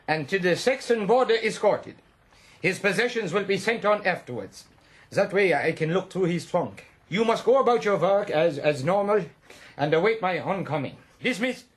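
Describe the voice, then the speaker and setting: An actor in a radio drama playing a stern Prussian army captain with a German accent and a clipped, imperious manner. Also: male voice, high voice. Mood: grave, commanding.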